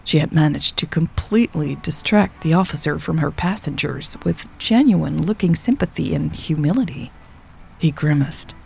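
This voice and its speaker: A woman's voice: sits low, moderate pace, creaky, a little mellow, a little hiss or hum. A woman reading a scripted story as a narrator, voicing the dialogue as she goes. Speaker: audio fiction narrator.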